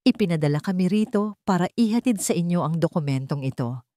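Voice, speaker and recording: A woman narrator with a Filipino accent voicing a cold, authoritative female lawyer, calm and emphatic. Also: lowish voice, fairly quick, no echo, studio quality, lightly acted. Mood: cold, firm.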